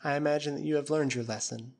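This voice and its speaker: A gracious English lady in an old adventure novel, dignified and forgiving, as a male narrator puts her on. She speaks gently and softly, with a slightly raised pitch and a moralizing lilt. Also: steady tone, fast talker, creaky. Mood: gentle.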